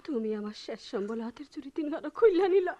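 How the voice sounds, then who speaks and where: An actress playing a defiant, playful village wife with a rural Bangladeshi accent in an emotional, singsong radio drama style. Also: female voice, sits high, sing-song, quick pace, warm tone. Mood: pleading, hurt. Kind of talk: Bengali radio drama acting.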